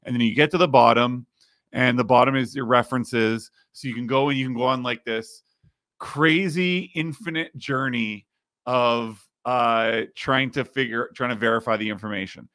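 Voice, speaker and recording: Slightly raspy man hosting a podcast. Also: mid-range voice, steady tone, moderate pace, a bit bright, no echo, clean studio sound. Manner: explains while screen-sharing, many fillers. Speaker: podcast host. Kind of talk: casual podcast chat. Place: home studio with neon sign.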